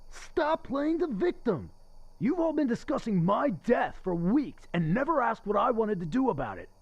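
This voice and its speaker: An actor playing a crude, bitter but lonely restaurant server in a radio play, with sarcastic, needling delivery. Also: male voice, extremely high pitch, very animated, moderate pace, slightly rough, lo-fi sound, heavily acted. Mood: bitter, agitated.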